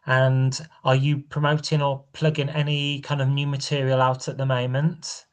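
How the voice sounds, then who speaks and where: A man's voice: medium pitch, moderate pace. A man hosting a podcast interview, asking loose questions with plenty of fillers. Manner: relaxed questioning with many fillers. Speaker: podcast host.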